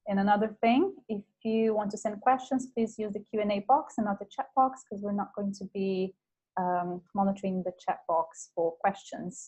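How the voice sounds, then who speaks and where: A woman's voice: mid-range voice, mellow, rough recording. Enthusiastic woman hosting a webinar. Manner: enthusiastic, gushing. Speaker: webinar host. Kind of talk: introducing a guest speaker.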